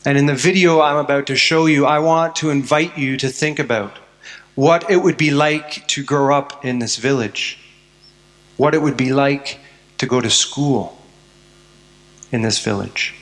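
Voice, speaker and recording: A man's voice: medium pitch, fairly even intonation, measured pace, fairly bright tone. A Canadian man telling his life story in a prepared talk to students, earnest with some self-mocking humor. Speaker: speaker addressing students. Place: school hall. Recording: long hall reverb.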